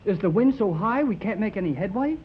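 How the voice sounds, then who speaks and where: A man's voice: high voice, animated, a little fast, breathy edge. An actor playing Jerry, an eager, folksy young man, in a 1930s radio drama. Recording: muffled old radio transcription with loud hiss.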